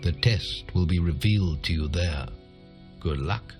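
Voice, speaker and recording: An actor playing an aged, fatherly guild master and storyteller in a fantasy game, speaking in a measured, solemn, instructive way. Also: low-pitched, a little mellow, soft background music. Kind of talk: scripted fantasy game dialogue and narration.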